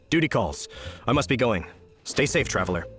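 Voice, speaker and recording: A man's voice: medium pitch, sing-song, measured pace, a bit bright, soft background music. A man playing an earnest, courteous young knight in a video game, speaking formally and politely with eager emphasis. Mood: puzzled, courteous.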